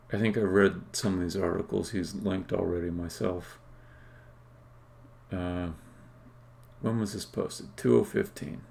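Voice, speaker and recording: A man who is a game developer, talking casually and haltingly as he thinks aloud during a live coding stream. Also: low voice, vocal fry, darkish tone, slight background noise.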